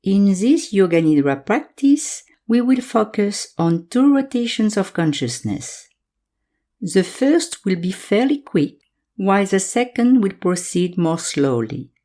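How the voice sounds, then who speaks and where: A woman guiding a yoga nidra meditation in calm, measured instructions, leaving pauses between phrases. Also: mid-range voice. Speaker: meditation guide.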